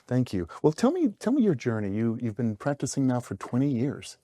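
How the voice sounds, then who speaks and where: A man's voice: mid-range voice, huge pitch swings, brisk, warm tone, dry recording. A man hosting a studio talk show, asking questions in an enthusiastic, complimentary way. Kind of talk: studio interview.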